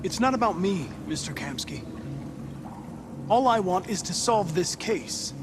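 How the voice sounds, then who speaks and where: An actor playing a composed young male android detective in a game cutscene, measured and polite. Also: high-pitched, very animated, noisy background. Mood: controlled. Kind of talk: video game cutscene acting.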